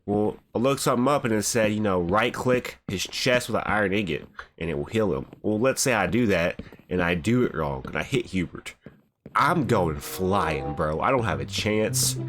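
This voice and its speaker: A young man with a stuffy, cold-sick voice, rambling casually through gameplay commentary and often saying "bro". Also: fairly low pitch. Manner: laid-back, rambling, says "bro" often. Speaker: gaming channel host. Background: background music.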